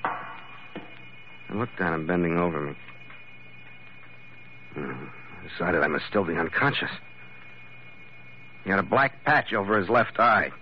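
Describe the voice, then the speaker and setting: An actor playing a tough, world-weary ship's skipper in a hardboiled radio drama, terse and sardonic. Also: male voice, deep voice, unhurried, pauses often. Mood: groggy narrating. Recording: muffled, noisy old radio recording.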